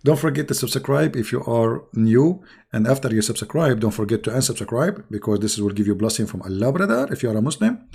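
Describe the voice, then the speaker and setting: Hoarse, gravelly man mocking his opponents. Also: medium pitch, animated, brisk. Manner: mocking, scornful, with sudden loud rises. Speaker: YouTube channel host. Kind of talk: casual polemical monologue to viewers.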